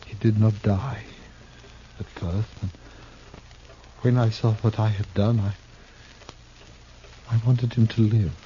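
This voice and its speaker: An actor in a 1950s radio Western playing a cultured, despairing traveling player turned killer, speaking in a halting, theatrical, mournful way. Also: male voice, fairly low pitch, steady tone, mellow. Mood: haunted confession. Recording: muffled, noisy old 1950s radio recording.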